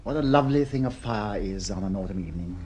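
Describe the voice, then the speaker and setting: An actor with an English accent playing a besotted, romantic gentleman in an old-fashioned radio drama, gushing and a little hesitant. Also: on the low side, animated, fast, natural voice. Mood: delighted.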